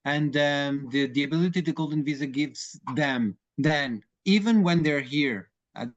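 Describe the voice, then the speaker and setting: Man, 40s, Portuguese accent, hesitant with fillers, fairly high pitch, video call. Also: measured pace, dull audio. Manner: hesitant, many fillers and restarts. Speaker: lawyer advising clients. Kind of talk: webinar discussion.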